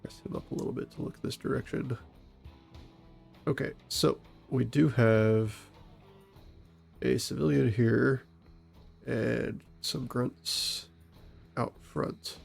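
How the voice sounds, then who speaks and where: A man with a stuffy, congested voice giving calm, methodical commentary on a tactical game. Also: mid-range voice, takes it slowly, gravelly, long pauses, faint music behind. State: stuffy from a cold. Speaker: gaming channel host and SWAT operator.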